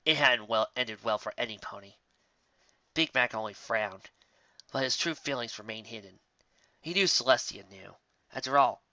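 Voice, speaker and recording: A man's voice: medium pitch, bright, forceful tone, dry recording, muffled recording. A man reading scripted fan fiction aloud as the narrator.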